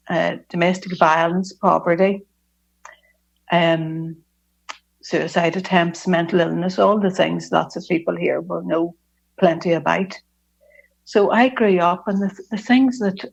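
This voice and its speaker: Gravelly Belfast woman, lo-fi call. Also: on the low side. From Northern Ireland. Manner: earnest, reflective, with frequent hesitations. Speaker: speaker at a recovery fellowship meeting. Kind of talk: personal testimony talk.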